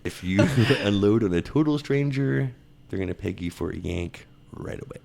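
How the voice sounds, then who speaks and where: Deep gravelly Texan man. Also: expressive, moderate pace, a little mellow. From Texas. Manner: joking, laughs often, reads list points aloud. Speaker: podcast host. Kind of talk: casual podcast chat, reading list items.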